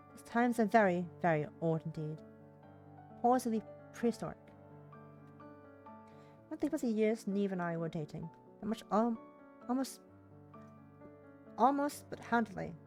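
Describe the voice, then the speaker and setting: A woman's voice: medium pitch, darkish tone. A woman livestreamer reading a visual novel's narration aloud, stumbling over words and rereading phrases, with brief casual asides.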